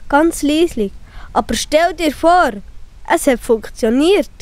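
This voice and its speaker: A woman's voice: high voice, animated, slow pace, dry recording. A woman voicing a young schoolboy, honest and eager, with a Swiss German accent, in a children's audio play. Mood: relieved, eager.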